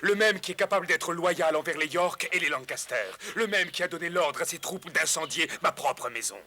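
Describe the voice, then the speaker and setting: An actor playing a noble, resolute outlaw leader in a French cartoon dub. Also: male voice, fairly high pitch, normal speed, bright, forceful tone. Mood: solemn, welcoming.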